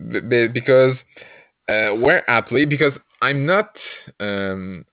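Slow, hesitant man. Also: mid-range voice, no room sound. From Quebec, Canada. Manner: hesitant, many fillers and restarts, laughs often. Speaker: podcast guest, improv player. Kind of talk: casual chat. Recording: tinny call audio, dry.

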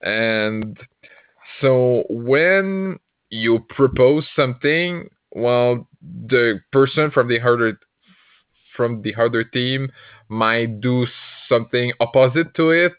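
Young man, slow and unhurried, hesitant with many restarts, tinny dry call audio. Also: medium pitch, no room sound. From Quebec, Canada. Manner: hesitant, many fillers and restarts, laughs often. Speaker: podcast guest, improv player. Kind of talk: casual chat.

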